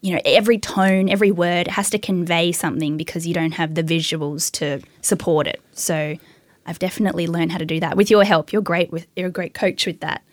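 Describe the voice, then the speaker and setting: A young woman guest in a casual interview, talking easily and laughing often, with a naturally high voice she works to keep lower. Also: steady tone, a little fast, no room sound. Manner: laughs often, self-deprecating, bubbly. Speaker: actress and writer guest.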